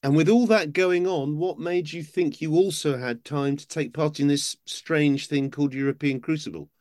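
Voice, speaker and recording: A man with a somewhat high voice hosts the interview. He moves things along with brisk questions and the odd dry joke. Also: fairly even intonation, darkish tone. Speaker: interviewer, host. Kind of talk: interview hosting.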